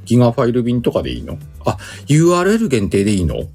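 A man's voice: mid-range voice, huge pitch swings, quick pace, darkish tone, faint music behind. A man hosting a casual livestream chat, speaking informally in slang and often chuckling. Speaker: livestream host.

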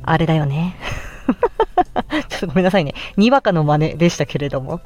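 A woman with a fairly deep voice talks casually as a solo podcast host. She is animated and emphatic in how she reacts to her own points. Soft music plays behind her close-miked speech. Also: fairly quick. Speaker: podcast host and amateur voice actress. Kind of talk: casual solo chat looking back on an audio drama.

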